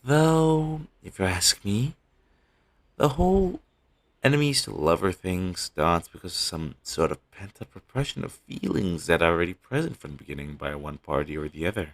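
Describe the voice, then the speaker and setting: A caring talent manager played by a man in an intimate audio roleplay, with a gravelly, husky voice. He coaxes and gently teases, his intonation rising and falling freely. The amateur recording is low in quality. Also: animated, normal speed. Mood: teasing, probing. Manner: coaxing, gently teasing. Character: caring, teasing male talent manager soothing a troubled actor. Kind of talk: scripted audio roleplay, one-sided intimate conversation.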